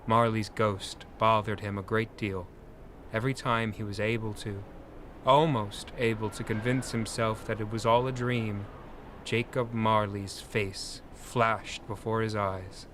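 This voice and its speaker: A man narrating a scripted dramatic story in an expressive, deliberate way. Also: fairly low pitch, slight background noise.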